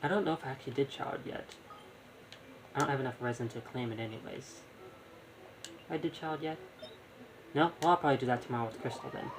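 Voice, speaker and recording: A man's voice: medium pitch, noisy background. A young man giving casual live gameplay commentary, rambling and thinking aloud. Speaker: gaming streamer. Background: game music.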